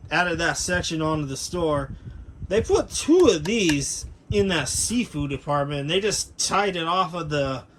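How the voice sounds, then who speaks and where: A man's voice: fairly high pitch, a bit bright. An American man giving a casual, unscripted product overview as a hobbyist, explaining things in a rambling, matter-of-fact way. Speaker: hobbyist video creator. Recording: clearly noisy room ambience.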